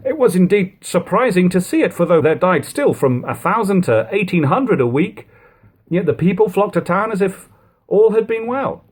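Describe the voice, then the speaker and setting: Expressive man narrating, widely swinging pitch. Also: slightly rough. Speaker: amateur audiobook reader. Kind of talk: literary narration of an old text. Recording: lo-fi home recording.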